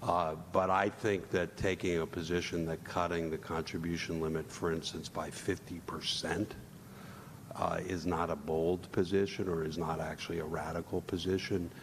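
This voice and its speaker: A very low-voiced man speaks as a commissioner in deliberate, lawyerly phrases. The room is a reverberant hall with audible rumbling noise. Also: little pitch movement. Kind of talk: formal questioning at public hearing. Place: large indoor public hearing room.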